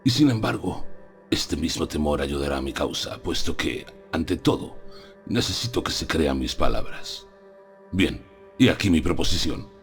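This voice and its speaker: A man's voice: a bass voice, big pitch swings, moderate pace, heavily acted. A man playing a solemn, persuasive older professor in a dramatized audiobook. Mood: earnest, persuasive. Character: solemn, persuasive older male professor confiding terrible truths. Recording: music under the voice.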